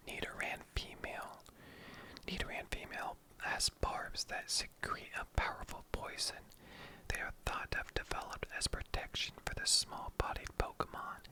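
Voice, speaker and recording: An online creator whispers throughout in a breathy, unvoiced ASMR style. The reading is soft and playful, like sharing short encyclopedia entries with chatty asides. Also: poor audio.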